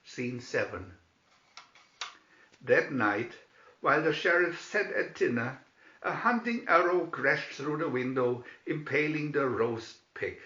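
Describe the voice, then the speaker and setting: A man narrating a storybook in a dramatic, theatrical and deliberate way. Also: medium pitch, animated, sharp tone, roomy sound, highs cut off.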